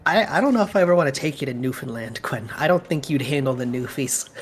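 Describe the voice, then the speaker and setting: A woman's voice: extremely low pitch, expressive, quick pace, a little hiss or hum. A woman from Canada with a Toronto-Hamilton accent, co-hosting a stream and teasing her friends with a laugh. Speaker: stream co-host. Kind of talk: casual gaming chat.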